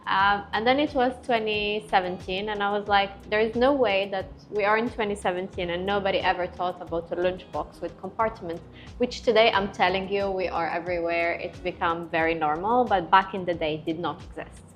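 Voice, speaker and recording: Animated Moroccan-born woman telling stories. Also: mid-range voice, a little fast. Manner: animated storyteller, emphatic repetitions. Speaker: guest entrepreneur. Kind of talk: casual panel chat.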